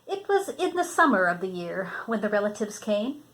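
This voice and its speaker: A woman's voice: medium pitch, very animated, normal speed, a bit bright, low-quality recording. An older woman reading a children's storybook aloud, expressively, drawing out words for emphasis. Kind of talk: read-aloud storybook narration.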